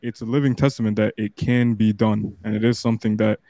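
A man from the Toronto area hosting a podcast over a video call, speaking calmly and supportively. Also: fairly low pitch, a little fast, no room sound. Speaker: podcast host. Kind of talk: casual podcast chat.

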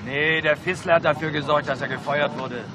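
A man's voice: a little high, fairly even intonation, a little fast, lightly acted. Defensive site worker played by a man, noisy outdoors. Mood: grudging, gossipy. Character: defensive, grudging construction-site employee under questioning. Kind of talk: German radio play acting.

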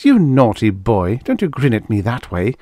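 A man's voice: medium pitch, huge pitch swings, normal speed, warm tone, no echo, clean studio sound. A man voicing an anxious, frightened mother who urgently calls and scolds her small boy in an audiobook story. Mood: scolding. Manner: urgent, exclaiming.

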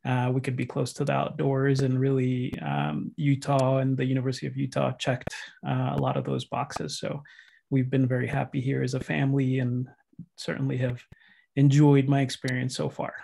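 A man in his thirties, from Colombia, introducing himself on a video call in a measured way with fillers. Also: mid-range voice, completely flat delivery, creaky, dark tone, slight background noise. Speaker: urologist, faculty member.

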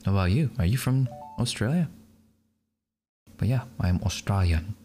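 Young man, streamer, low pitch, casual chat, laughs a lot. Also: expressive, moderate pace, mellow, soft background music. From Malaysia. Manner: chatty, laughs often.

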